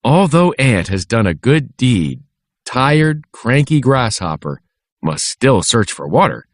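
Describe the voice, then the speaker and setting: A man reading a scripted children's lesson aloud in a measured, instructional way. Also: mid-range voice, sing-song, dry recording, studio quality.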